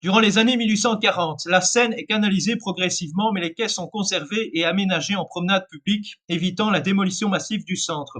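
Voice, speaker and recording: Male narrator, bright voice, self-correcting read. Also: sits high. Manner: reads with frequent restarts and self-corrections. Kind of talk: read alternate-history narration.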